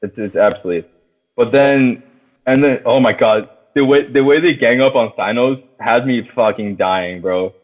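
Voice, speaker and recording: A man hosting a casual film chat, talking in slangy, profane language and steering the discussion like an excited fan. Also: medium pitch, moderate pace. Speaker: podcast host. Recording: tinny phone-like sound.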